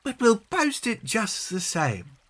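A man's voice: high-pitched, wildly swooping pitch, slow pace, a bit bright, voice pushed up, full character voice. A man voicing a bossy, resourceful young girl who takes the lead in a children's storybook, sounding assured and instructive. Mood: matter-of-fact.

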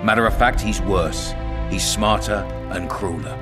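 An actor playing a cunning, blunt and sardonic town commandant in a dark fantasy video game. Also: male voice, fairly low pitch, measured pace, lightly acted. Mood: blunt, persuasive. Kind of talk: dramatic video game acting. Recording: music behind the voice.

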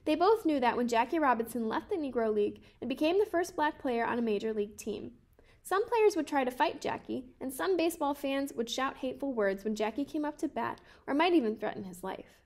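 A woman in her twenties reading a children's picture book aloud in a careful, measured way. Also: sits high, animated, a little mellow. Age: 20s. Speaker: storybook reader.

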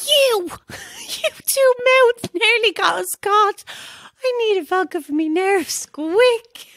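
A woman's voice: extremely high pitch, lively intonation, slow pace, fairly bright tone, exaggerated voice. An actress playing a brash, fun-loving older Irish woman in an audio drama, bossy and jokey. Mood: merry, boastful.

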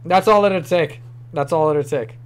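A man's voice: fairly high pitch, measured pace, clean tone. A young man with a New York accent, chatting casually on a livestream from a small room, rambling and swearing offhandedly. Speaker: streamer.

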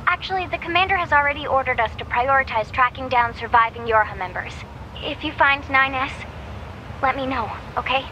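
A woman's voice: high voice, brisk, bright, forceful tone, lo-fi sound, a little hiss or hum. A woman playing an earnest, caring young android radio operator in a video game, eager and precise. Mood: earnest briefing. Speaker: military operator.

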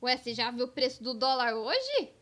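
An actress voices a sceptical young cowgirl in a cartoon skit. Her high voice is teasing, with a Brazilian accent. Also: big pitch swings, moderate pace, a bit bright. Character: teasing, sceptical young woman in the Wild West. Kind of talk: cartoon comedy sketch acting.

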